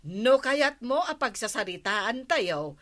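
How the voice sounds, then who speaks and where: A woman's voice: on the high side, big pitch swings, slow, a bit bright, heavily acted. A woman playing a questioning village woman who mediates neighbours' quarrels, with an Ilocano accent, in a radio comedy-drama.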